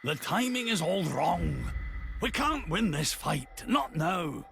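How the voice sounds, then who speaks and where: An actor playing a shifty, blustering imperial senator in a video game, haughty and indignant, with a creaky voice. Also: male voice, sits high, sing-song, slow pace, fairly bright tone, slight background noise, full character voice. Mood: desperate. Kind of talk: dramatic video game acting.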